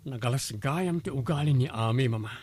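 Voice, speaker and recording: A man's voice: medium pitch, fairly quick, a little mellow, no room sound, lightly acted. A man playing a remorseful young groom in a radio drama, earnest and pleading. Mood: remorseful, confessing. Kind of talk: Ilocano radio drama acting.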